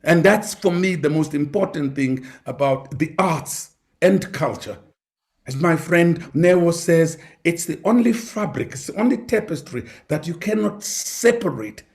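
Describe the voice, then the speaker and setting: A man's voice: on the high side, a little slow, gravelly. A man speaking on a discussion panel in an emphatic, oratorical way, repeating phrases for effect. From Africa.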